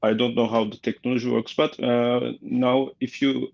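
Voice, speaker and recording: Gravelly man, muffled line. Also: medium pitch, level tone, a little mellow, no echo. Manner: halting, laughs easily. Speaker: guest artist.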